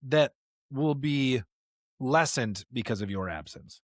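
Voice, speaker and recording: Emphatic preacher-host, close dry sound. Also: male voice, medium pitch, animated, slow, dry recording. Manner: emphatic, repeats rhetorical questions and phrases. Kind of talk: conversational podcast discussion with sermon-like passages.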